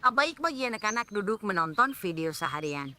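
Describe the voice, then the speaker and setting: A woman voicing a caring grandmother in a dubbed cartoon, gently scolding the children. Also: medium pitch, very animated, a little fast. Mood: firm.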